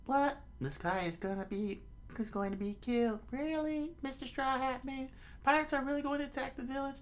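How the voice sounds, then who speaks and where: A man voicing an alarmed, excitable young village boy in a strained, urgent falsetto while reading a story aloud. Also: normal speed. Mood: astonished. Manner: exclamatory, urgent.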